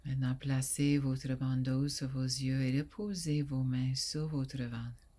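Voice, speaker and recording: A woman guiding a sleep meditation in a soft, soothing voice that often drops to a breathy near whisper, with long pauses. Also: a bass voice, fairly even intonation. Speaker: yoga nidra guide.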